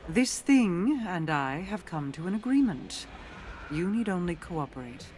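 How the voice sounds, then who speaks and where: A woman's voice: lowish voice, big pitch swings, a little mellow, a little hiss or hum. Casting: composed otherworldly game queen, formal and calculating, heard echoey and set back over music. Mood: commanding. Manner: formal, measured, commanding. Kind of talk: video game cutscene dialogue. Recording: echoey, distant, with music behind.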